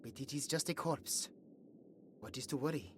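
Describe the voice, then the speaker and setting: A woman playing a young, earnest and chatty elven guard in a fantasy audio roleplay, musing aloud in a soft, hesitant way. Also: very deep voice, moderate pace, background noise. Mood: bored, dutiful.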